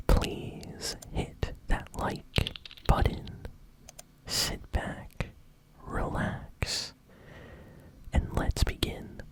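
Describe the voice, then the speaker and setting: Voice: very slow, long pauses, low-quality recording. A host whispering informal, enthusiastic video game news in an ASMR style. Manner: whispering throughout. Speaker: host of a gaming news show.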